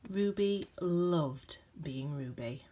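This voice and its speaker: Woman with a lowish voice reading a kids book out loud, gentle and expressive, bit of noise behind. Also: extremely slow. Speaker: storybook reader. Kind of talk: expressive read-aloud of a children's picture book.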